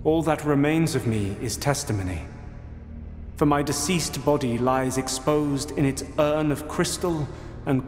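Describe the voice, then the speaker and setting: A solemn dead holy man voiced by a male actor narrates in a dark fantasy game. His measured, archaic speech rings in a long echoing reverb, with music behind him. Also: medium pitch, lightly acted.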